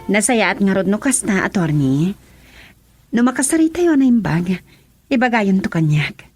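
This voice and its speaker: An actress with an Ilocano Filipino accent playing a businesslike grown daughter in a radio drama. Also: female voice, medium pitch, very animated, normal speed, darkish tone, faint music behind, lightly acted.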